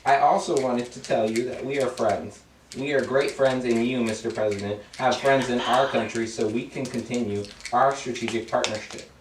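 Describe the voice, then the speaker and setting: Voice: medium pitch, fairly even intonation, moderate pace, close to an everyday voice. An amateur actor playing an earnest, deferential Ukrainian president who is eager to please. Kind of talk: amateur student satire, read dialogue. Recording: roomy, distant mic, background noise.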